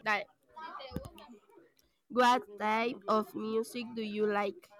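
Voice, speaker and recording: Teen girl, slow careful English, interview practice. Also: mid-range voice, level tone, a bit bright.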